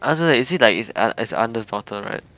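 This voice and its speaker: A male streamer chats casually while playing, rambling along in a giggly way. His voice is mid-pitched, with clear game music behind it. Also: expressive, rapid-fire, fairly bright tone. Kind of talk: casual live gameplay commentary.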